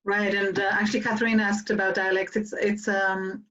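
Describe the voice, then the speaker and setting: A woman's voice: mid-range voice, little pitch movement, moderate pace, fairly bright tone, far from the mic, muffled recording. A woman whose first language is Hebrew, interviewing a guest in a loose, rambling way with many restarts and "right?" tags. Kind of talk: casual panel interview.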